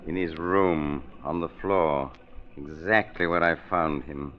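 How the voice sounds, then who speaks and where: A man playing an embittered, coldly formal manservant in a 1940s radio drama, speaking in a deliberate deadpan. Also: very deep voice. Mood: formal, impassive. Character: impassive, embittered long-serving manservant, coldly unmoved by death. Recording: muffled old radio recording, faint noise.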